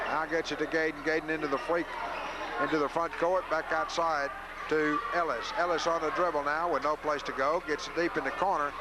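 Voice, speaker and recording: A man calls live basketball play-by-play in a folksy way for local radio, openly favouring the home team. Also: fairly high pitch. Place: high school gym. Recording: noisy 1980s local radio broadcast.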